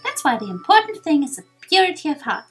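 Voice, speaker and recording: A woman's voice: high-pitched, very animated, fast talker, a bit bright, faint music behind. A woman voicing a childlike, earnest, kindly creature guildmaster in a story video game. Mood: solemn.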